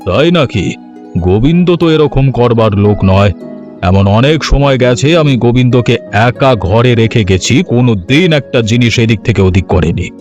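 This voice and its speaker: A man playing a gullible, anxious village landlord in a cartoon, earnest and a little halting, pleading yet bossy. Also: medium pitch, lively intonation, darkish tone, highs cut off, lightly acted. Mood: stern. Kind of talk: cartoon story dialogue. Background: music.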